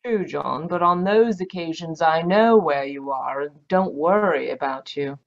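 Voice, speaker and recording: A woman playing a genteel, affectionate, gently chiding Victorian doctor's wife in an old-time radio mystery. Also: deep voice, a little slow, gravelly. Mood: fond reproach. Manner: polite, fond, proper turns of phrase. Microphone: video call. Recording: dull, muffled video-call audio.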